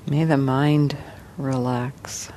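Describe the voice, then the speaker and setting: A woman leads a guided meditation in a soft, dark, relaxed voice. She speaks slowly and gently, leaving long pauses between phrases. A faint low rumble sits behind her. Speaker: meditation teacher.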